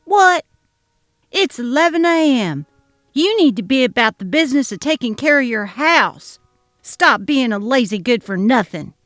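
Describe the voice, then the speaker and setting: A woman voicing a jealous, demanding grandmother who talks loud and shrill. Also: sing-song, a little slow, no room sound, studio quality, soft background music, exaggerated voice. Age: older. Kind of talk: dramatic reading of a text-message story.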